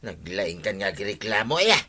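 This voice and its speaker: Piercing, grumbling village husband. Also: male voice, lowish voice, sing-song, normal speed, pushed and bright, full character voice. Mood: complaining. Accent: Ilocano. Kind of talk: Ilocano radio comedy drama acting.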